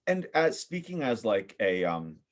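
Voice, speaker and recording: A man's voice: lowish voice, big pitch swings, unhurried. A man speaking as a podcast guest in casual, reflective conversation, thoughtful and often groping for his words.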